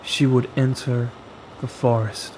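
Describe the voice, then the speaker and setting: A man narrates a horror story at an extremely slow pace, breaking his sentences into short, dramatic pieces with deliberate pauses. His pitch sits in a middle male range. A faint, steady hiss and hum runs behind his voice. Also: poor audio.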